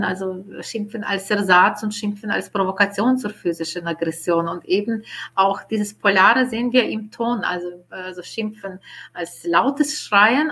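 A woman whose first language is Ukrainian, explaining her research in a studio interview, thoughtful and hesitant with many pauses. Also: mid-range voice, fairly bright tone, breathy edge. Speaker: linguist guest.

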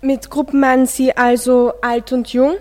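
A woman's voice: high voice, little pitch movement, normal speed, a little mellow, big-room sound. A teenage girl, formally moderating a student radio discussion.

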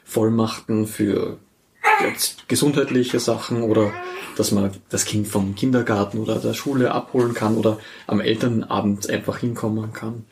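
A woman speaks casually and matter-of-factly in a conversational interview. Her low voice comes with clearly audible background noise. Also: fairly even intonation, normal speed. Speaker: interviewee, parent in a polyamorous family.

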